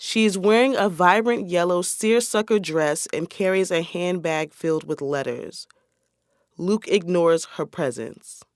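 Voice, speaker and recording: A woman's voice: on the low side, measured pace, no room sound, studio quality, lightly acted. A woman as a plain, neutral narrator reading scripted stage directions for a radio play.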